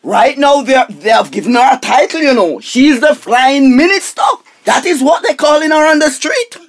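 A woman's voice: sits high, expressive. A woman playing a flustered, self-important money minister in a radio comedy, with a Jamaican accent and emphatic repetition. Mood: defensive, indignant. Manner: repeats phrases emphatically, halting when agitated. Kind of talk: radio comedy drama acting.

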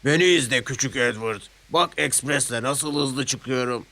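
A man's voice: medium pitch, moderate pace, pushed and bright. Man putting on a pompous, grumpy big steam engine. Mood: boastful. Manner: pompous, grumbling, repeats himself. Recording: music behind the voice.

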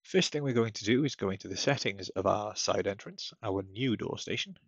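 A man with a low, husky voice talks through software steps casually, as a technical support presenter. The sound is dull and slightly lo-fi, recorded at a computer. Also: lively intonation, fairly quick, no room sound. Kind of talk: casual step-by-step software tutorial narration. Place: indoors at a computer, screen-recording voice-over. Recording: dull lo-fi close dry microphone.

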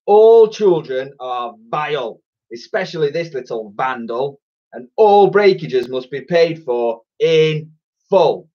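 Man putting on a nasty male twin villain, high, husky, theatrical, muddle-headed, spiteful, storybook. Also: animated, takes it slowly, lo-fi sound. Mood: snarling spite. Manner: theatrical, snapping, haughty.

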